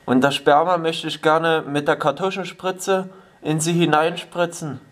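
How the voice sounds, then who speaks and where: A man voicing a polite, eccentric elderly prank caller in a stilted, formal, deadpan manner. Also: on the high side, unhurried, lightly acted. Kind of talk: improvised prank phone call. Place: small home kitchen, indoors.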